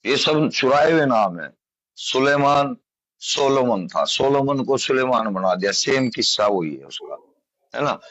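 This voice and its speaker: A man's voice: medium pitch, lively intonation, measured pace, a bit bright, no room sound. A middle-aged man argues bluntly and insistently in an informal debate on a phone call. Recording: dull lo-fi phone call recording.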